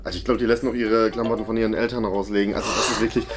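A young man playing a chatty, pushy schoolboy in a comedy sketch, talking casually in halting, repeated bits. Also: fairly low pitch, flat delivery, pitched below the performer's own voice, lightly acted. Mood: gossipy. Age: young adult.

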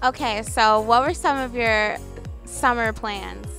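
A woman's voice: on the high side, takes it slowly, vocal fry, a bit bright, soft background music. A young woman reporter interviewing students outdoors on a campus lawn with a handheld mic, upbeat and friendly. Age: young adult. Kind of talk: field interviews.

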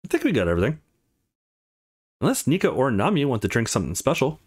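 A man's voice: mid-range voice, wildly swooping pitch, quick pace, breathy edge. A man voicing a polite, welcoming father who hosts dinner in a visual novel.

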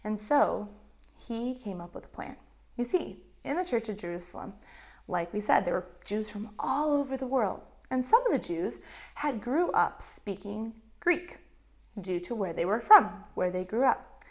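A woman in her thirties with a lapel mic, telling a Bible story to children in an animated way with acted-out voices. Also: fairly high pitch, measured pace. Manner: animated, acts out voices of characters. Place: indoors in front of a map backdrop.

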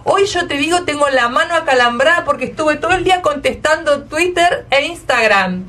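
A woman's voice: high voice, expressive, moderate pace, bright, forceful tone. A woman with an Argentine accent hosting a casual, effusive radio interview. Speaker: radio host.

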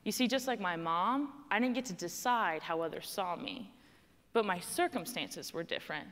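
A young woman from Texas speaks with a clear Texan accent, standing on a stage in a large hall. She tells a personal story in a conversational, emphatic way and leans on the key words. Her voice carries a long hall reverb. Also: on the low side, animated, normal speed, fairly bright tone. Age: young adult. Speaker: student panel speaker. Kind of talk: prepared personal speech.

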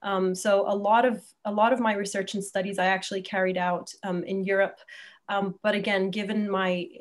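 A woman's voice: mid-range voice, flat delivery, moderate pace. A woman in her thirties from Egypt speaking conversationally as an academic guest on a video call, thoughtfully rephrasing as she goes. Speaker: guest academic, terrorism scholar. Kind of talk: conversational interview answer. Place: indoor room at home, video call.

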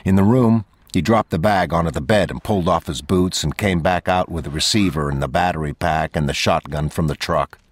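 Male audiobook narrator, very low-pitched, measured and unhurried reading. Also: slight background noise.

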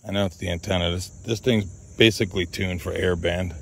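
A man's voice: low voice, fairly even intonation, a little fast, vocal fry, noisy background. A man hosting an outdoor radio range test, repeating test calls and calling to his dog in an open field, recorded on a body-worn camera. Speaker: radio hobbyist host.

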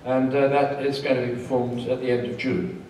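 A man's voice: medium pitch, flat delivery, a little fast, a little mellow, slight background noise. An older English man, a panel guest, chatting in a rambling, self-mocking way at a convention. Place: convention hall, audience present. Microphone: handheld mic, far camera. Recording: echoey hall from far off.

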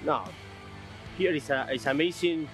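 Polo player, halting interview answers, slightly high pitch, guitar underneath. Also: male voice, fairly bright tone. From Argentina. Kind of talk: short interview clip.

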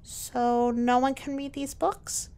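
A woman's voice: high-pitched, flat delivery, takes it slowly, a little mellow, voice pushed up. Woman reading as an earnest, curious little lion cub boy. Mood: curious, concerned. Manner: questioning, earnest.

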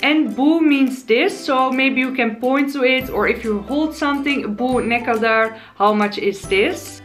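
A Dutch woman in her twenties with a Dutch accent, chatting on camera from her living room as she teaches basic words, and slowing down to spell them out. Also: on the high side, fairly bright tone. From Netherlands. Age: 20s. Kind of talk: casual vlog lesson. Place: living room, sofa, indoors. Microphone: camera in front, seated.

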